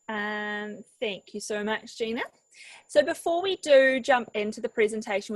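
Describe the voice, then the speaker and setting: A woman's voice: fairly high pitch, no room sound, rough recording. A woman hosting an online workshop, friendly and encouraging as she guides participants. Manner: friendly and encouraging, repeats "fantastic". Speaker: webinar host.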